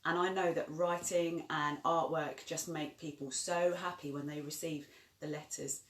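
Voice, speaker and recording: A woman's voice: sits low, steady tone, normal speed, distant mic. A woman co-presenting a children's story session, chatting casually and encouragingly to young viewers. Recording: echoey room.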